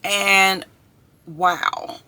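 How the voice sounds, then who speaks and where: A woman's voice: medium pitch, fairly even intonation, very slow, bright, forceful tone, no room sound. A woman in her thirties chatting upbeat to her viewers in a casual video diary, calling them y'all and guys. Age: 30s. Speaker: vlogger. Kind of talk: casual chat to camera.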